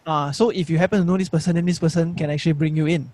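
Fast-talking inquisitive man. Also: a little high, steady tone, darkish tone, dry recording. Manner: inquisitive, probing questions. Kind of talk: casual podcast chat.

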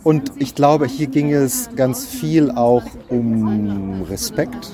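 Male interviewee, mid register, careful and halting delivery, recorded outdoors with people talking around. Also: medium pitch, crowd noise. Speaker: interviewee, demonstration organiser. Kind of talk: spontaneous interview answers. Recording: outdoor urban noise, people chattering.

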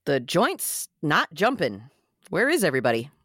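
Woman doing jokey insecure young guy, defensive wisecracks, table read, bouncy pitch, no room echo. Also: wildly swooping pitch, moderate pace, fairly bright tone, husky, studio quality, exaggerated voice. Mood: wisecracking. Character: Xander, jokey, insecure young man covering his fear. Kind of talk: podcast script table read.

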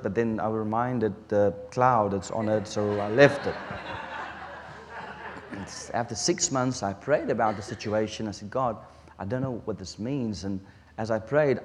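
Afrikaans-accented preacher in a reverberant hall. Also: male voice, lowish voice. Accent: South African Afrikaans. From South Africa. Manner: earnest, halting, with restarts and pauses. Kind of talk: sermon.

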